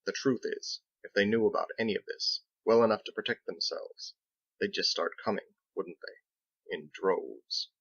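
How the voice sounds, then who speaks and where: A man voicing a measured, thoughtful seafaring captain in an audiobook, with formal, old-fashioned phrasing. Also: fairly low pitch, fairly bright tone, lo-fi sound. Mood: grave, indignant. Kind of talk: audiobook character dialogue.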